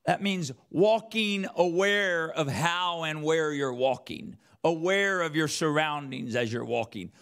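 Slow, gravelly male preacher. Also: on the high side. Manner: emphatic, repeats phrases, rhetorical questions. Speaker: preacher, church elder. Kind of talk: sermon with scripture quotation.

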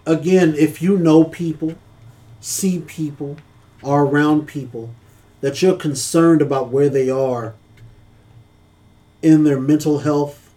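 A man's voice: on the high side, steady tone, takes it slowly, pauses often, dark tone. A man co-hosting a casual church-talk podcast, speaking earnestly with a preacherly cadence.

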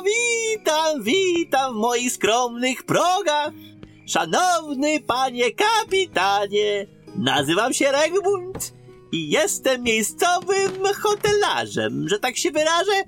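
High-pitched, fawning fantasy innkeeper. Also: male voice, wildly swooping pitch, a bit bright, faint music behind, heavily acted. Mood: fawning, welcoming. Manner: fawning, halting, drawn-out delivery. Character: obsequious, gossiping male innkeeper of a besieged fantasy town. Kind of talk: fantasy radio drama acting.

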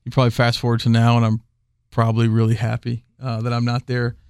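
A man's voice: medium pitch, monotone, fast, darkish tone, smooth, no room sound, clean studio sound. A man talking casually as a podcast guest, rambling with many fillers and restarts. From Houston area. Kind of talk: casual conversation.

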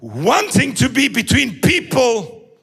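A man preaching a sermon in a sing-song manner that rises into shouts. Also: extremely high pitch, wildly swooping pitch, slow pace, gravelly, breathy edge.

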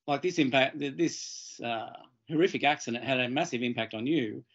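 A man hosting an interview with a croaky voice from a head cold, hesitant and repeating words as he frames questions. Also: mid-range voice, normal speed, fairly bright tone, dull audio. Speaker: interview host. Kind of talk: casual interview chat.

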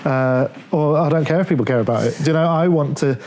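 A man's voice: a little high, quick pace, a little mellow, a little hiss or hum. A man, an artist guest, talking casually and rambling with many restarts about his life. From England, born Plymouth, raised Leeds. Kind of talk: casual conversation.

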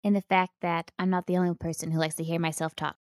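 A woman's voice: fairly low pitch, flat delivery, fast, darkish tone, no echo, studio quality. A young woman co-hosting a board game podcast, chatting in a teasing, playful way. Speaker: podcast co-host. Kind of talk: casual podcast chat.

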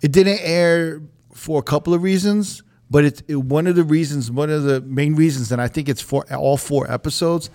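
A man's voice: fairly high pitch, moderate pace, a little mellow. A young man hosting a podcast, chatting loosely with lots of fillers and swearing. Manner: loose, profane, lots of "like" and "you know". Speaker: podcast host. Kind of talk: casual podcast chat.

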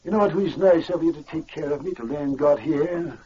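An actor playing a kindly, trusting uncle in an old-time radio adventure. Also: male voice, a little high, brisk, mellow, slightly rough. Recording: muffled old radio recording, faint noise.

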